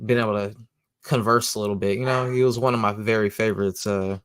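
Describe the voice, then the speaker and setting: A man's voice: lowish voice, steady tone, fast, clear, resonant tone, dry recording. Man, casual slangy monologue, dry recording. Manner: slangy, rambling, lots of "you know what I'm saying"; says he has a loud voice. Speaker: comic book show host.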